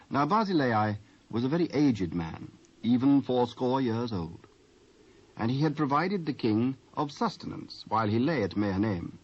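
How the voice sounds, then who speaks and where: British guy narrating the bible, husky, formal, sounds like muffled old tape. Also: mid-range voice. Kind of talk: scripted scripture narration.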